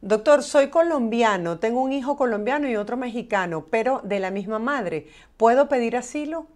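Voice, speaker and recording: A woman's voice: a little high, lively intonation, moderate pace, darkish tone. A woman hosting a broadcast interview, reading questions aloud and moving the conversation along. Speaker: host reading viewer questions.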